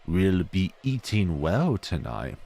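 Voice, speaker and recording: Man as lead rabbit of a battalion: pompous, menacing, haughty game villain with a lowish voice. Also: sing-song, takes it slowly, gravelly, mellow, full character voice. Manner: haughty, threatening.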